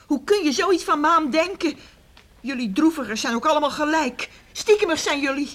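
Radio play casting: middle-aged village mother, easily scandalised, fairly high voice, protective, plaintive and reproachful. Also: animated, moderate pace, a bit bright. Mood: indignant. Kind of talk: Dutch radio drama acting.

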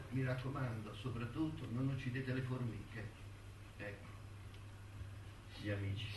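Stage monologue: elderly, wistful, bitter man of letters; slow, halting, musing delivery; echoey, distant recording. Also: on the high side, fairly bright tone, a little hiss or hum. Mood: pleading. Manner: halting, musing, with rhetorical tags and repetitions. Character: elderly male intellectual and ant lover, wistful, bitter, reminiscing. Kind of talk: theatrical monologue in a radio-broadcast play.

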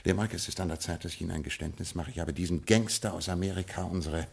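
Ruined, absent-minded lord. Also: male voice, low voice, fairly even intonation, quick pace, creaky, darkish tone, breathy edge, full character voice. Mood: resigned stately. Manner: stately, absent-minded, dryly fatalistic. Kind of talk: 1950s radio drama acting.

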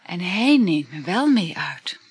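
An actress playing a flirtatious, teasing woman in a Dutch radio play, with coy, drawn-out, expressive delivery and a husky voice. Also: fairly low pitch, no room sound, full character voice. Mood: boastful, dreamy. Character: flirtatious, teasing woman boasting about her lovers.

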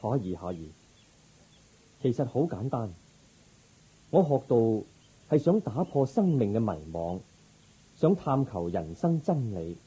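A man playing a calm, resolute young prince turned monk in a radio drama, speaking in a measured, gentle, formal way. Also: mid-range voice, husky, heavily acted, rough recording. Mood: calm, sincere. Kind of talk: Cantonese Buddhist radio drama acting.

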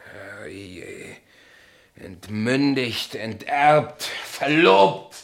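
A stubborn, naive heir in a radio drama. He speaks bluntly and plainly at a middling pitch, quick to exclaim and hard to push around. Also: very animated, vocal fry, heavily acted. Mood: exasperated. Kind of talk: German radio play acting.

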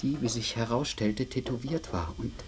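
A man's voice: mid-range voice, moderate pace, natural voice. A man acting in a German radio play as a formal, officious investigator with an anxious streak. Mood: confiding, uneasy. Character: fussy, anxious male aviation authority investigator haunted by Brahms.

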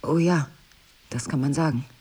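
An actress playing a cool, teasing, evasive wife in an intimate audio drama, speaking with measured, coy irony. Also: female voice, low voice, warm tone, slightly rough. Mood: guarded, grave. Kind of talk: intimate two-person audio drama acting.

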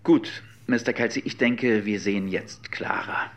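An actor playing an affable, wryly amused police sergeant in a German radio drama, knowing and gently probing. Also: male voice, lowish voice, a little slow, close to an everyday voice. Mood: confiding.

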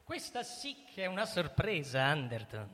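A man's voice: a little high, huge pitch swings, normal speed, pushed and bright, full character voice. A male actor playing a pompous, scheming army general in a radio drama, formal and emphatic like an orator. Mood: smugly formal. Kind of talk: theatrical radio play acting. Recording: long hall reverb, stage performance.

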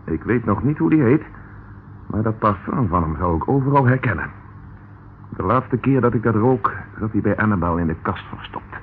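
An actor playing a calm, dryly ironic male police inspector in a radio drama. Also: fairly low pitch, moderate pace, natural voice. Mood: reflective. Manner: dry, composed, ironic. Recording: tinny, noisy old radio recording.

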